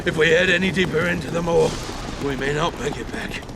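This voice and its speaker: An actor playing a fearful, superstitious member of a hunting party in a gothic audio drama, anxious and excitable. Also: male voice, a little high, a little fast, very noisy, lightly acted. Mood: dread. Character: fearful, superstitious hunt companion dreading the devil.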